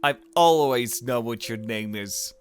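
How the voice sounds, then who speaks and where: A man voicing a calm, cryptic, mysterious visitor with slow, measured speech in a visual novel. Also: medium pitch, music in the background, natural voice.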